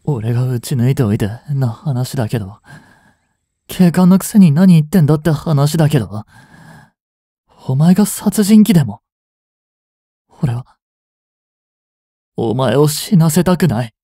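An actor playing an anguished, devoted young police officer boyfriend in an audio drama, with breathy, halting, emotional delivery. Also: male voice, a little high, animated, warm tone, dry recording, studio quality. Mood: desperate, pleading. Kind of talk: dramatic Japanese situation voice acting.

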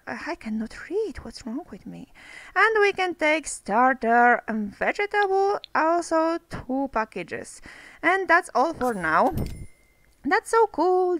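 High, lively woman gaming. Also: no echo. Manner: chatty, non-native phrasing, talks to her characters. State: getting sick, voice a bit off. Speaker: let's play host. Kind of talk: casual gameplay commentary.

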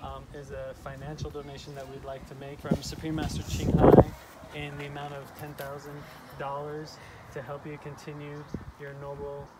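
A middle-aged man speaks in a formal, measured manner with a slightly raised pitch. He is recorded outdoors, with clear background noise. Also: monotone. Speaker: award presenter. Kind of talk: formal presentation, reading a letter. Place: outdoors at a farm.

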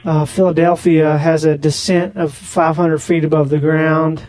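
High-pitched man, naive rambling caller. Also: little pitch movement, a little mellow, dry recording, poor audio. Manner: naive, rambling, slow on the uptake. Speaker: prank caller on a comedy podcast. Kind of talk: deadpan prank phone call.

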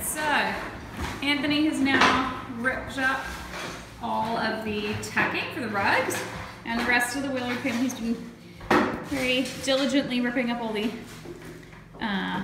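A young woman, chatty and upbeat, vlogging a home renovation on a phone camera while laughing often. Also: high-pitched. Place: empty house, indoors. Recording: echoey empty rooms, noisy.

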